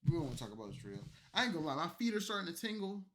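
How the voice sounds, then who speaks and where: A man streaming late at night, tipsy from alcohol and an energy drink, delivering a loud, profane, rambling rant at his chat. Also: fairly high pitch, very animated, racing, a little hiss or hum. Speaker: streamer reacting to clips.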